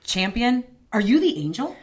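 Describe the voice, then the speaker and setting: A woman's voice: fairly high pitch, sing-song, normal speed, darkish tone. A woman playing a down-to-earth, sceptical ally of a detective, blunt and wry, in a radio drama. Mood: startled.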